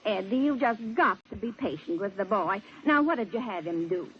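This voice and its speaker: An actress playing a middle-aged criminal mother who coaxes and schemes calmly, in 1950s radio drama style. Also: medium pitch, moderate pace, lightly acted. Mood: calm, patient. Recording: muffled, noisy old radio broadcast.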